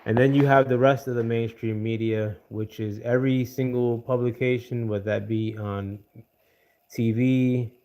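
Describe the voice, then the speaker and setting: An American man giving casual political commentary on a livestream, pausing often with fillers and greeting viewers by name. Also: mid-range voice, fairly even intonation, dull audio, a little hiss or hum. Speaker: livestream host.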